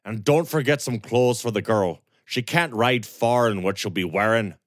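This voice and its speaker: A man voicing a grim young man bent on revenge, speaking low, calm and controlled. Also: moderate pace, no echo, clean studio sound, natural voice. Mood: commanding.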